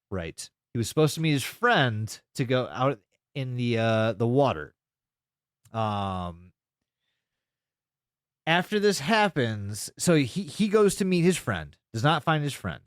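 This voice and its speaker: Animated, sweary man recapping a story. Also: mid-range voice, no room sound, clean studio sound. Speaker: podcast co-host. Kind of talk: casual podcast recap, retelling a video's story.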